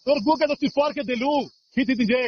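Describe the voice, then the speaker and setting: A man's voice: extremely high pitch, steady tone, fast. A man with a Sambalpuri accent argues on a recorded phone call, protesting in short, repetitive replies. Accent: Sambalpuri (western Odisha). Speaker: caller in a family dispute.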